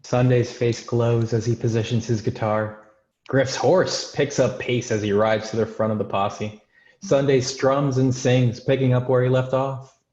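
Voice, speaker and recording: A man's voice: mid-range voice, fairly even intonation, a little slow, a little mellow, roomy sound, muffled recording. A man reading screenplay stage directions as scripted narration.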